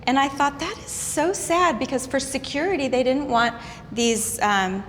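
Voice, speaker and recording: A woman in her fifties chatting on a talk show panel, telling stories in a lively way and often restarting her sentences. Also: high voice, normal speed. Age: 50s. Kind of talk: candid panel chat. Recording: reverberant room with faint noise.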